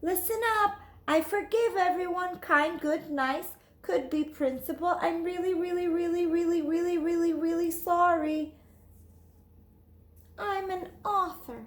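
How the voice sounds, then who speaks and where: A woman voicing a dramatic, excitable little mouse schoolgirl in a children's storybook, with an emphatic, theatrical delivery. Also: extremely high pitch, a little slow, voice pushed up. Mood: earnest, proud. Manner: emphatic, theatrical, repeats words intensely. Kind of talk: read-aloud children's storybook. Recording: echoey room.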